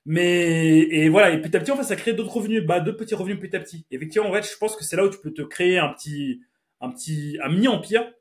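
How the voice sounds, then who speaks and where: A man's voice: high voice, fast talker. A man in his thirties hosting a podcast, chatty and slangy, often laughing. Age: 30s. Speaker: podcast host. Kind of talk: casual podcast chat.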